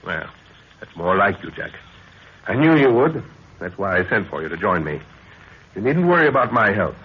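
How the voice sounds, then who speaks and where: Commanding older visionary scientist, low and very animated, 1930s radio adventure style. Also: male voice, moderate pace, slightly rough. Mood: stubborn. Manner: emphatic, declamatory. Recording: dull, noisy 1930s radio recording.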